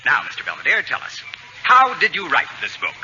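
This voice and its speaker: An actor playing a harried newsreel director, flustered and deferential, in an old radio comedy. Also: male voice, a little high, huge pitch swings, fairly quick. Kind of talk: 1940s radio comedy acting. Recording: noisy, muffled old radio broadcast.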